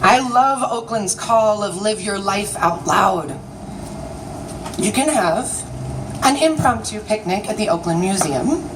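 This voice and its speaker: A woman writer performing her own piece as a live spoken-word reading, with a theatrical, declamatory delivery. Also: mid-range voice. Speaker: writer and magazine editor performing own work. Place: city alley at a live event. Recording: distant mic, noisy outdoor ambience.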